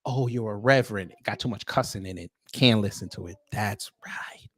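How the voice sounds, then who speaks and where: A man's voice: fairly low pitch, fairly bright tone, slightly rough, dry recording. Sarcastic American man giving opinion commentary, dry sound. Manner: sarcastic, confrontational, with pointed pauses. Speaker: online commentary host. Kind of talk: off-the-cuff opinion commentary, reading posts aloud.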